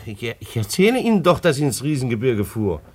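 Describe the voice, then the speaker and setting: A man's voice: medium pitch, very animated, brisk, breathy edge. A man acting in a German radio drama as an agitated, self-justifying bailiff, stammering and repeating himself as he pleads. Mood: insistent, agitated.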